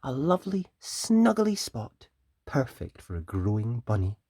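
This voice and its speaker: A storyteller doing a hushed, gentle mother rabbit. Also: mid-range voice, big pitch swings, a little slow, no echo, studio quality. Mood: encouraging. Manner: soft, hushed, tender. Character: gentle motherly rabbit soothing her young son.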